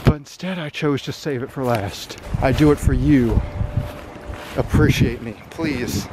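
A man narrating his own exploration of abandoned buildings in a dry, wry, deadpan way, filmed on a handheld camera. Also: medium pitch, unhurried, noisy background. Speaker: urban explorer vlogger. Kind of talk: casual solo exploring commentary. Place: abandoned buildings and overgrown fields, indoors and outdoors.

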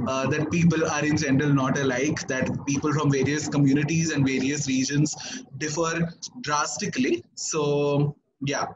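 An earnest man hosts a panel over a video call, his voice sitting a little high. He presses his points with repeated "right?" tags. The call audio comes through dull and muffled. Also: slight background noise. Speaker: host. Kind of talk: online panel discussion.

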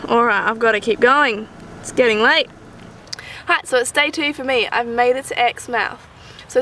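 A woman's voice: a little high, fairly bright tone, a little hiss or hum. A young Australian woman giving casual, enthusiastic wildlife commentary in a gushing, chatty way with informal slang. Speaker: wildlife vlogger.

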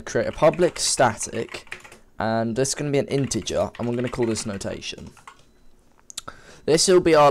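A man with a cold and a slightly sniffly voice, talking casually through a coding tutorial at his computer and often correcting himself. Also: medium pitch. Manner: informal, rambling, self-correcting while typing. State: has a cold, a bit sniffly. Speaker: game development tutor. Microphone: desk microphone.

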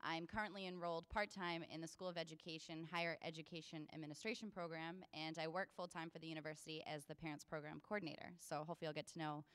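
A woman in her twenties from Massachusetts, a university staff member and alum, introducing herself on a panel with a handheld mic, brisk and confident. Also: low-pitched, little pitch movement, a bit bright, smooth, no room sound. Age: 20s. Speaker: university parents program coordinator and alum. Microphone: handheld microphone.

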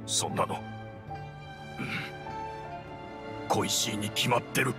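A loyal, worn-out fighter in a dramatic game scene. He talks in a low voice, familiar and emotional, with music clearly playing underneath. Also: steady tone, takes it slowly. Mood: despairing. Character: loyal human warrior sorcerer, weary and pleading. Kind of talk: dramatic game cutscene acting. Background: background music.

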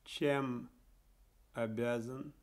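A man's voice: mid-range voice, fairly even intonation, very slow. An actor playing a curt, haughty millionaire businessman with a dismissive manner in a radio play. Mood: cold, dismissive.

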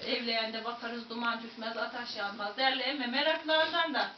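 A woman's voice: on the high side, steady tone, normal speed. A woman with a Central Anatolian accent telling a traditional folk tale to a live audience in a rhythmic, rhymed patter, talking directly to her listeners. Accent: Central Anatolian Turkish. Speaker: folk storyteller. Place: museum site with onlookers and photographers. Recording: distant, echoey, dull recording with faint noise.

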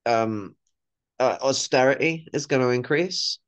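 A man's voice: mid-range voice, measured pace, clear, resonant tone, no room sound. A young man giving blunt, sweary political commentary in a casual way over a video call. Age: young adult. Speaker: panel participant. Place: indoor room at home. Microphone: video call microphone.

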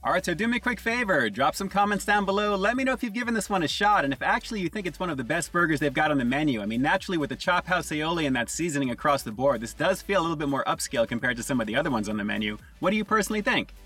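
A man in his forties reviewing fast food from inside a parked car, upbeat and enthusiastic, talking to viewers. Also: on the high side, animated, bright, forceful tone, slightly rough, far from the mic. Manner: upbeat, enthusiastic, often says "guys".

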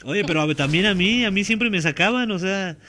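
A man's voice: sits high, expressive, fairly quick, pushed and bright. Streamer guy in his 30s, casual talky gaming chat, headset mic, repeats himself a lot. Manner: chatty, repeats words, laughs often.

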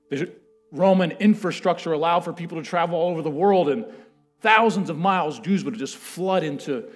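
A man in his thirties preaching from a church stage, telling history with lively, building emphasis. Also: on the high side. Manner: animated storytelling, building to loud chanted quotes. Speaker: pastor. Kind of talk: sermon. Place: church stage with a lectern, large indoor room.

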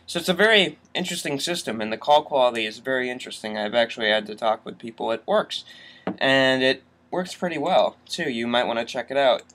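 A man's voice: mid-range voice, expressive, a little fast, fairly bright tone, a little hiss or hum. A young adult man talking casually through a screen-recorded tech tutorial as a vlogger.

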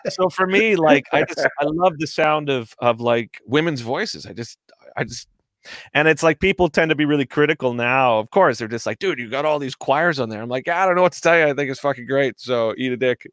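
A man's voice: medium pitch, animated, brisk, a bit bright, no room sound. Man, forties, jokey rambling talk, headset mic, dry sound. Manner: rambling, jokey, swears casually. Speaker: guest musician. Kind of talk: casual video-call chat. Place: home studio, indoors. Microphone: headset microphone on video call.

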